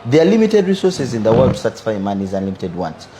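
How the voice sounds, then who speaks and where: A young Ugandan businessman in his twenties, answering interview questions in a measured, reflective way. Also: lowish voice, sing-song, mellow, slight background noise. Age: 20s. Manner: measured, philosophizing, repeats "for me, I believe". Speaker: businessman guest.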